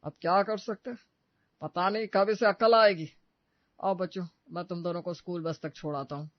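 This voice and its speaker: A man's voice: sits high, fairly even intonation, moderate pace, dry recording, muffled recording, close to an everyday voice. A man playing a mild, frail elderly grandfather in a dramatised family story. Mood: resigned. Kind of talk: dramatised dialogue in an audio story.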